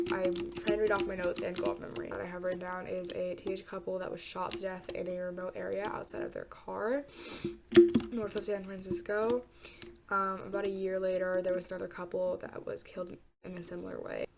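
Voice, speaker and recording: A woman's voice: mid-range voice, little pitch movement, a little fast, very noisy. A congested young woman casually telling a true crime story while gaming, hesitant and rambling with many fillers and restarts. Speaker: gamer talking true crime. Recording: loud keyboard typing behind.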